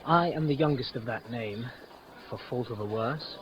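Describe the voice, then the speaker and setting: A man's voice: mid-range voice, normal speed, natural voice. An actor playing an earnest, lovesick young man in a 1950s radio Shakespeare play, with a poetic, ardent delivery. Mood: courteous. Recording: dull, noisy old radio recording.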